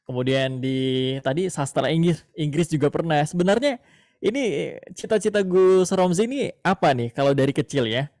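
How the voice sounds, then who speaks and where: A man's voice: a little high, lively intonation, normal speed, darkish tone, dry recording, studio quality. A man hosting a radio interview, asking a guest long, loosely built questions with frequent verbal nods.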